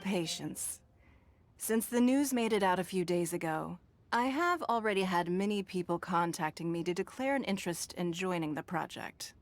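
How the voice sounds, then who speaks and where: An actress playing a composed, powerful businesswoman who speaks in measured, formal, authoritative phrases. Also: fairly low pitch, expressive. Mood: composed, businesslike. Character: poised, powerful businesswoman leader, exacting and composed. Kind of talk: video game voice acting. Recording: background music under dialogue.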